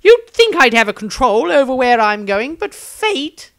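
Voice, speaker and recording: A man's voice: very high voice, big pitch swings, measured pace, gravelly, fairly bright tone, dry recording, studio quality, voice pushed up, exaggerated voice. A man voicing a nervous, flustered wizard with a melodramatic, fretful manner in a fantasy story. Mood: flustered, resigned. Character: flustered, nervous, theatrical male wizard diarist.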